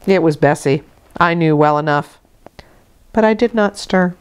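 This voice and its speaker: Female audiobook narrator for classic fiction. Smooth, resonant, lower register, deliberate and expressive. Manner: measured, deliberate phrasing. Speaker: audiobook reader. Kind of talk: expressive literary storybook narration.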